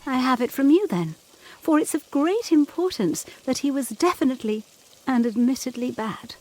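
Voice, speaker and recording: A woman's voice: high-pitched, animated, moderate pace, darkish tone, poor audio. A woman playing an earnest, anxious young Victorian governess in a gothic audio drama, intense and questioning in formal period diction. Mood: insistent, suspicious. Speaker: actor in an audio play.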